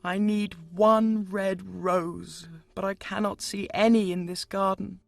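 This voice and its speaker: A man's voice: high voice, flat delivery, slow pace, vocal fry, no echo, soft background music, voice pushed up, full character voice. A man voicing a lovesick young student who laments earnestly and pities himself in a storybook tale. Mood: self-pitying. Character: lovesick, self-pitying young male student.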